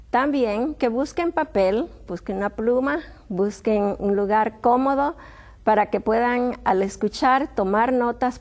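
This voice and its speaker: A woman's voice: a little high, a little mellow. A middle-aged woman hosting a television health program, welcoming and interviewing a guest with frequent hesitations and restarts. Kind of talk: friendly TV interview.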